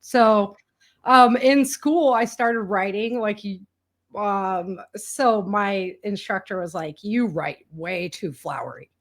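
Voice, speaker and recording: An American woman speaks as a guest in a casual conversation. She tells stories candidly, in everyday slang, often quoting people as she goes. Her voice sits at a middle pitch. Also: slow pace. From rural United States. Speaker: guest, indie author and entrepreneur.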